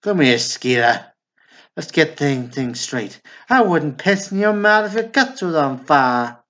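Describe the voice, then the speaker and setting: A man playing a gruff, weary, laconic in a stage drama, with a terse, deadpan delivery. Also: on the high side, measured pace. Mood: curt, resigned.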